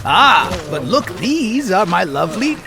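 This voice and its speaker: A male actor as a nervous alien rest-stop keeper in a sci-fi audio drama. His high voice swoops wildly and cracks with creak as he fawns and schemes theatrically. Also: unhurried, music in the background, full character voice. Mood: fawning, ingratiating. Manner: excitable, fawning, theatrical.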